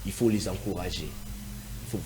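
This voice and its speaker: A man's voice: sits low, fairly even intonation, unhurried, mellow, slight background noise, low-quality recording. A man from DR Congo speaking as a panelist in a televised debate, emphatic, repeating phrases for stress with many hesitant fillers.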